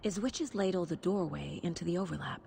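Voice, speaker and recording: A woman's voice: on the low side, fairly quick, gravelly, warm tone, a little hiss or hum. A woman playing a focused, intuitive federal agent in a video game, speaking in terse, pensive phrases. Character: focused, intuitive female FBI agent investigating supernatural murders. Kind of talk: video game acting, murmured detective monologue.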